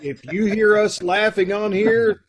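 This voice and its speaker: Man, host, slightly high voice, chatty, friendly, video call mic. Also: steady tone, measured pace. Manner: chatty, friendly, loose with fillers. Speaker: host of a community radio troupe. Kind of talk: casual chat.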